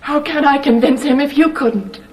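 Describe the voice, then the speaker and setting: An actress playing a terrified captive young wife in an old radio drama, tremulous and pleading. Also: female voice, sits high, moderate pace, darkish tone, natural voice. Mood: numb dread.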